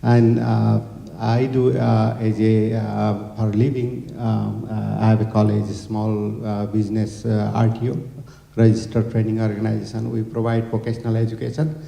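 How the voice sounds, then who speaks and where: Man from Nepal, hesitant with many fillers, dark gravelly voice, echoey hall. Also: lowish voice, little pitch movement, normal speed. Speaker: honorary consul general and college owner. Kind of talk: halting speech to a gathering.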